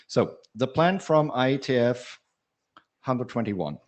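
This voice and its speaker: A man's voice: mid-range voice, expressive, slow, darkish tone. A man from Germany giving a wry slide presentation at a technical meeting.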